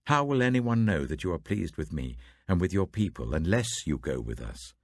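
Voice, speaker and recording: A man's voice: low voice, big pitch swings, normal speed, no room sound, studio quality. A British man voicing Moses as an earnest, troubled leader, emphatic and pleading, in a scripture reading. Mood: earnest entreaty.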